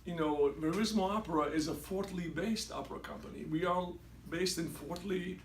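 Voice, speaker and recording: A man's voice: on the high side, normal speed, gravelly, slight background noise. A man speaking for an opera company, explaining its work in an informative way. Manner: informative, promotional. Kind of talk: interview answers. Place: indoor concert venue. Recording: echoey room, distant mic.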